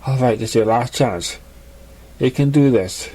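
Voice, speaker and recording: A man's voice: mid-range voice, moderate pace, warm tone, natural voice, low-quality recording. A man voicing a lovesick, anxious, self-doubting male pony in a storybook reading. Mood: anxious resolve.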